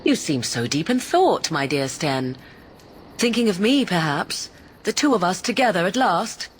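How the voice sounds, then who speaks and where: A woman's voice: on the low side, big pitch swings, a little slow, a little hiss or hum. An actress playing a haughty, sardonic young witch in a fantasy game, arch and disdainful, with theatrical old-fashioned phrasing. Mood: sly teasing. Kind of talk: fantasy video game voice acting.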